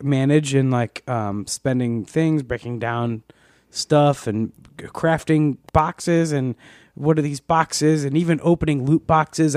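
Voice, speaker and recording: A man's voice: a little high, darkish tone, no room sound. A man co-hosting a casual gaming podcast, rambling with lots of swearing and restarts. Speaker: podcast co-host. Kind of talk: casual chat.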